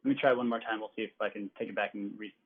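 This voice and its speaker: A man's voice: fairly low pitch, fairly even intonation, racing. A man opening a committee meeting as its organizer, polite and helpful, with casual fillers. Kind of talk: formal meeting introduction. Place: remote conference call. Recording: tinny conference call line.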